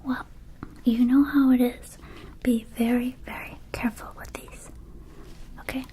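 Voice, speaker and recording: A woman voicing a small, friendly vampire shopkeeper in an ASMR roleplay, whispering gently and haltingly to the listener as a close friend. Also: on the high side, level tone, poor audio. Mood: grateful, friendly. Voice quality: soft, breathy whisper. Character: small friendly female vampire shopkeeper, quirky, needy, affectionate. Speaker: ASMR performer.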